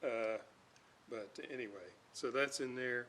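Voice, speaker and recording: A man giving an unscripted hands-on repair demonstration, talking in a halting way as he thinks aloud. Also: mid-range voice, fairly bright tone. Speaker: host, electronics restorer. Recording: clear background noise.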